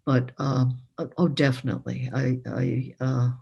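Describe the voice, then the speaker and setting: A woman answering as a panel speaker, reflective, halting and emphatic. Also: very deep voice, little pitch movement, gravelly, mellow, breathy edge, muffled recording. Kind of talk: reflective answer to a moderator's question.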